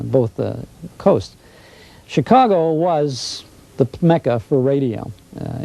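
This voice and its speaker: An older man giving informal historical commentary in an interview, explaining in measured phrases. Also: medium pitch, creaky, dark tone.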